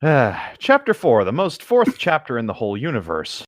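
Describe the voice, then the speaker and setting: Playful man with a lively, swinging pitch. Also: moderate pace, dry recording, clean studio sound. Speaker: co-host reading fan fiction aloud. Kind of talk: casual banter and informal story reading.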